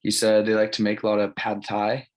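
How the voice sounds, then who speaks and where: Young california guy doing a class presentation, lowish voice, pretty monotone, plain and casual. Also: a little fast, a little hiss or hum. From California, United States. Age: early 20s. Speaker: college student presenting an assignment. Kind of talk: informal spoken presentation.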